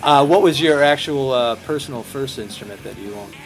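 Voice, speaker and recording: A man's voice: medium pitch, lively intonation, a little fast, soft background music, poor audio. Hesitant male interviewer. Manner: hesitant, with frequent uh fillers between questions. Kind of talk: interview questions.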